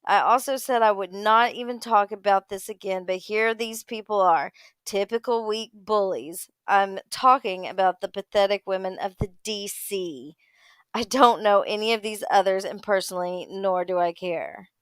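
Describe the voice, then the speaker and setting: A woman's voice: mid-range voice, moderate pace, clear, resonant tone, no echo. A woman on a casual livestream panel, talking and reading posts aloud.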